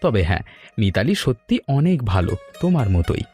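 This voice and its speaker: A man's voice: lowish voice, big pitch swings, moderate pace, dark tone, faint music behind. A man playing an affectionate, considerate young married son in a cartoon family story. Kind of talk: animated family story dialogue.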